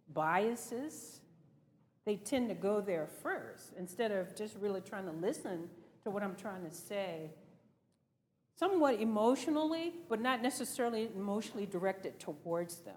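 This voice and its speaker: A woman's voice: medium pitch, expressive, measured pace, a little mellow. A woman leading a group session in an earnest, conversational way, putting reflective questions to her listeners. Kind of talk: reflective talk to a group with discussion instructions. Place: large reverberant room, speaking to a group. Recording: noisy hall with long reverb.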